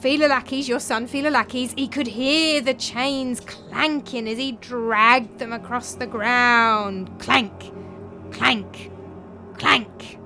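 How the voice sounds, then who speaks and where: Woman playing a desperate scheming manservant, high and excitable. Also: takes it slowly, few pauses, faint music behind. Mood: melodramatic. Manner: urgent, melodramatic, stretched-out words. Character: desperate scheming male servant spinning a ghost tale. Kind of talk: dramatised storytelling.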